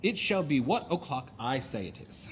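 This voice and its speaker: An actor playing a domineering, capricious husband in a Shakespearean comedy audio play, with a commanding, declamatory delivery. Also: male voice, mid-range voice, very animated, moderate pace, natural voice. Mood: contrary, commanding. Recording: noticeable background noise.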